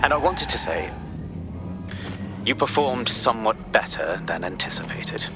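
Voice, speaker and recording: An actor playing a curt, condescending intelligence liaison in a video game, stiff and formal. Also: male voice, fairly low pitch, animated, measured pace, music in the background. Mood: cool, grudging.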